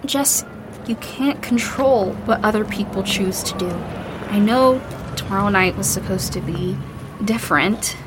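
An actress playing an earnest, hopeful young band member in an audio drama. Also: female voice, mid-range voice, unhurried, background noise, natural voice. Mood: reassuring.

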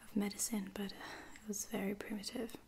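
A woman playing a caring space lieutenant in a whispered ASMR roleplay, breathy, hesitant and soft-spoken. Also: medium pitch, level tone, brisk, a little hiss or hum, lightly acted. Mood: reassuring. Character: caring, reassuring female space lieutenant tending a wounded soldier.

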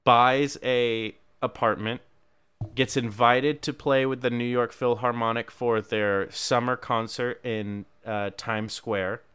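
Smooth-voiced man recapping a film. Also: mid-range voice, slow. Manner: wry, storytelling, deadpan jokes. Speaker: podcast host. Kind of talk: casual movie recap chat.

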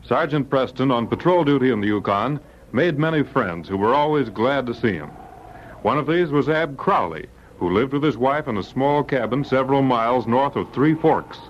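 Male announcer for vintage radio drama, declamatory storytelling, medium pitch, dull old recording. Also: expressive, normal speed, faint music behind. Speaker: announcer and narrator. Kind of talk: scripted 1940s radio drama narration.

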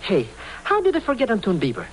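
A man's voice: sits high, wildly swooping pitch, fast. An actor playing a fretful, cowardly, wisecracking sidekick who mangles his idioms, in old-time radio drama style. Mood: indignant. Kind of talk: 1940s-50s radio drama acting. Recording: noisy old radio recording with clicks.

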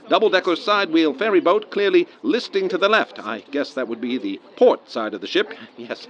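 An actor playing an earnest 1930s radio field reporter giving hesitant, descriptive live commentary through a dull vintage radio sound. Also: male voice, fairly high pitch, natural voice.

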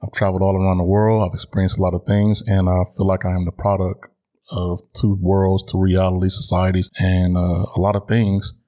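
American guy in his 30s doing a solo podcast, dark mellow voice, kinda dull lo-fi audio. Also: sits low, little pitch movement, fairly quick. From Chicago, United States. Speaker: podcast host. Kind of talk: solo podcast monologue, conversational.

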